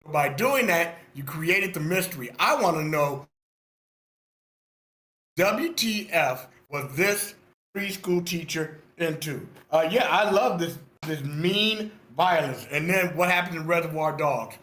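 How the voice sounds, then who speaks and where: A man giving animated, informal feedback on a screenplay, theatrical and emphatic, repeating phrases for effect. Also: on the high side, slow, roomy sound. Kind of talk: animated, informal script critique. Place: online video meeting.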